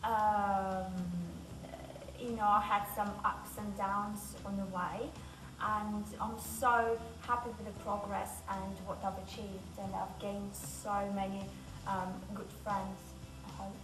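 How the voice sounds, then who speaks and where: Woman, medium pitch, flat intonation, casual personal testimonial, echoey. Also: takes it slowly. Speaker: actor in workshops. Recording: roomy, distant mic, background noise.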